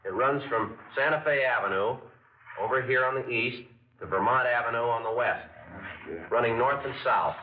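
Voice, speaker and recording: An actor playing a steady, matter-of-fact police sergeant in a 1950s radio drama, speaking in a clipped, businesslike way. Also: male voice, medium pitch, moderate pace, reverberant, a little hiss or hum, natural voice. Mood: briefing. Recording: tinny, distant old radio broadcast with music.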